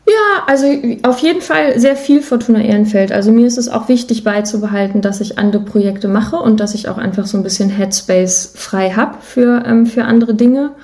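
A woman's voice: on the high side, normal speed, hardly any pauses, darkish tone. A woman speaking as an interview guest in casual chat, hesitant and thoughtful in how she puts things. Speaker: podcast guest, musician and songwriter.

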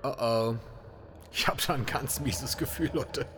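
A man playing a playful, excitable crew member in casual, colloquial radio play dialogue. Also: medium pitch, a little slow, natural voice. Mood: uneasy. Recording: clear background noise.

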